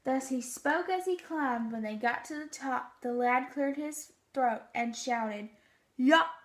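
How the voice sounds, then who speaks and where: A woman teacher reading a storybook aloud and giving children instructions in a deliberate, expressive way. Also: sits high.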